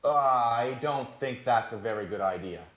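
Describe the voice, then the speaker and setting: An actor playing a nervous, apologetic singer in a scripted sitcom for language learners, speaking anxiously and clearly. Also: male voice, medium pitch, normal speed, a bit bright, natural voice. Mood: polite, unsure. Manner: anxious, self-doubting. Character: nervous, apologetic, clumsy male professional singer before a show. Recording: echoey room sound.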